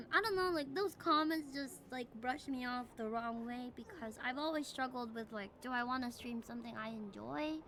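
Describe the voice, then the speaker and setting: A woman live streamer chatting casually and politely with her viewers in a soft-hearted way. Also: high-pitched, normal speed, few pauses. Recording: clearly noisy stream audio.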